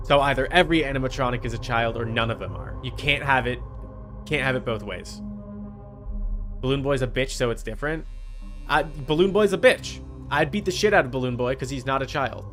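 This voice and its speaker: A man's voice: mid-range voice, brisk, fairly bright tone, faint music behind. A man chatting casually as he streams a game, with a blunt, sweary, argumentative way of talking. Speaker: livestream host. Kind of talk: casual gaming stream chat while playing a horror game.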